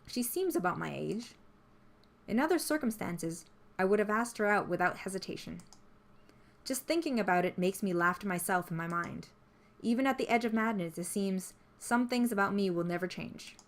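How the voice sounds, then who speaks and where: A woman reading a story aloud as scripted narration, in an expressive storytelling manner. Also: medium pitch, moderate pace. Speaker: visual novel player reading the text aloud. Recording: music behind the voice.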